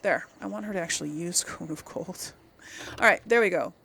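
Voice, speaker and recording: A woman's voice: lowish voice, a little fast, vocal fry, fairly bright tone, slight background noise. A woman giving casual game commentary, musing aloud as she plays and role-plays dialogue. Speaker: gamer, series host.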